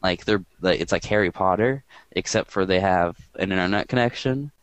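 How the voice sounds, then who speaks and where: A man hosting a podcast in casual chat, with a quiet, monotone voice and a rambling, dry way of talking. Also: low voice, normal speed, clean tone, dry recording. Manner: rambling and dry, filler-heavy. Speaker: podcast host.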